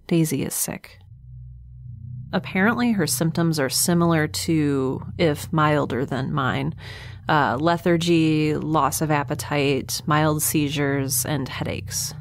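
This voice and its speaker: A woman playing a weary, wry scientist stranded in space, speaking a halting, deliberate and wordy log entry for an audio drama. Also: low-pitched, a little hiss or hum.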